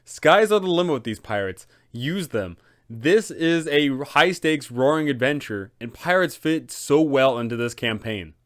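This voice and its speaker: A man's voice: medium pitch, expressive, unhurried, fairly bright tone, no room sound, studio quality. A man hosting an enthusiastic explainer for tabletop game masters, with a dramatic, emphatic storytelling delivery. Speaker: host, tabletop game advisor.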